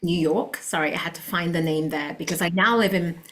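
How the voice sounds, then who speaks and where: Podcast guest: woman, London accent, low-ish voice, earnest and motivational. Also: fairly quick, a bit bright. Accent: London English. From London, England. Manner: earnest and motivational, with a few laughs. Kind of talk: casual interview chat.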